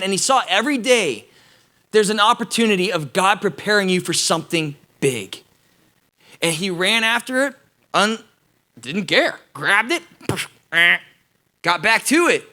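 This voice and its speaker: A young adult man preaching an energetic, casual sermon to students, addressing them directly. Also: high voice. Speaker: youth pastor.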